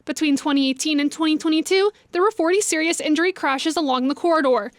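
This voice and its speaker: High-voiced female news reporter. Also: fast talker, no echo. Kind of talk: scripted news narration.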